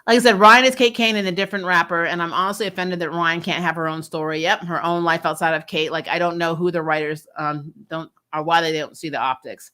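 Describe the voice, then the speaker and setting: Bright, blunt woman chatting casually. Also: lowish voice, fast talker, hardly any pauses. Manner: blunt, sweary, animated mock-quoting. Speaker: host of a fan recap show. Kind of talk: casual opinionated TV recap chat.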